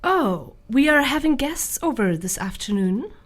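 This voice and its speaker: A woman's voice: sits high, very animated, measured pace, exaggerated voice. A woman playing a sociable, teasing Gothic wife in a radio drama, chatty and chiding. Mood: teasing, coaxing.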